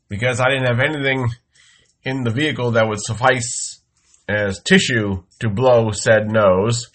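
A man with a head cold hosts his show from a small studio and takes his time with each sentence. His tone is bright and forward, and he moves between wry deadpan and bursts of comic exasperation. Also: mid-range voice. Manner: slow, wry deadpan storytelling with exasperated outbursts. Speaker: podcast host. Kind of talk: comic monologue. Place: home studio.

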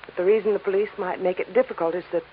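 A woman's voice: medium pitch, fairly even intonation, very fast, close to an everyday voice. An actress playing a refined, anxious young woman, polite and guarded, in a 1950s radio drama. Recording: tinny, noisy old radio recording.

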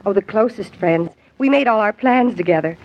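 An actress playing a sweet, excitable young stage actress in a 1940s radio drama. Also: female voice, medium pitch, moderate pace, a little hiss or hum, lightly acted. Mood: wistful, warm. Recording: dull 1940s radio recording.

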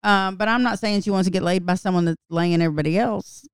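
A woman's voice: medium pitch, fast, smooth, dry recording, studio quality. A woman co-hosting a casual podcast, a chatty storyteller. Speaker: podcast co-host. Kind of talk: casual chat.

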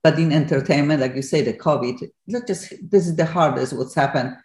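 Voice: medium pitch, brisk, a little mellow, echoey room. A talk radio host who interviews a guest and asks long, rambling questions. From Poland.

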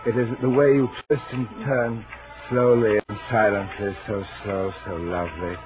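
An actor playing an obsessive, lonely, menacing admirer in a 1940s radio thriller, speaking in a halting, repetitive, intense way. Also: male voice, fairly low pitch, fairly even intonation, over music, natural voice. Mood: feverish, unstable. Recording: scratchy, narrow-band 1940s radio recording.

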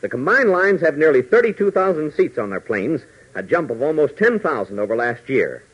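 A male 1940s radio announcer narrates in a formal, emphatic style. His pitch moves in lively swings and his voice is somewhat rough. The old recording sounds dull. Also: a little fast.

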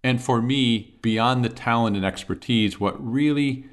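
A man's voice: medium pitch, unhurried. A man hosting an interview show, introducing his guest and firing off short questions in a chatty, upbeat way. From Michigan. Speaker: podcast host. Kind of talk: interview with quick-fire questions.

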